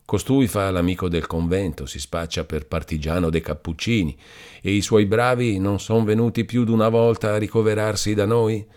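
A man's voice: low-pitched, darkish tone, lightly acted. A man reading an audiobook voices a stern but compassionate older friar who speaks in a grave, admonishing way. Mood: brooding. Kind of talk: audiobook character voice.

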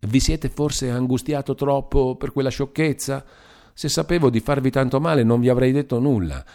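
A man reading aloud and voicing a lively, chattering young widow with a bright, teasing manner. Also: mid-range voice, normal speed, voice pushed up, lightly acted. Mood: chatty.

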